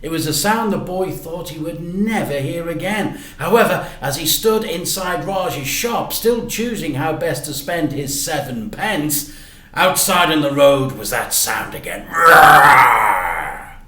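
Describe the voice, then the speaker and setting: Man, children's storyteller, very varied intonation, unhurried delivery, roomy echo. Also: a little high, animated, a bit bright. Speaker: teacher reading stories to children. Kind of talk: animated children's story reading. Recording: echoey room.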